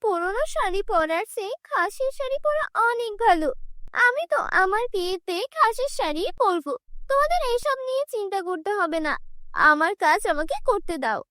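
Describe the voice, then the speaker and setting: A woman's voice: very high voice, expressive, moderate pace, sharp tone, no room sound, clean studio sound, pitched above the performer's own voice, exaggerated voice. A woman voicing a proud, poor young village bride-to-be in a cartoon fairy tale, plaintive and emphatic. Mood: defiant.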